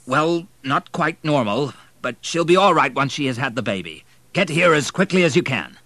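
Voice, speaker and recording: A man voicing an older family doctor in an audiobook, avuncular, steady and familiar in a gruff way. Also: mid-range voice, normal speed, dry recording, pitched above the performer's own voice, full character voice. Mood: reassuring. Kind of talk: audiobook character voice.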